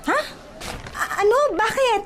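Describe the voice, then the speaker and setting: A woman's voice: very high-pitched, expressive, unhurried, fairly bright tone, full character voice. A woman playing a feisty, playful young housemaid in a Filipino radio comedy-drama, girlish, theatrical and exclamatory. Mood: puzzled. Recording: music behind the voice.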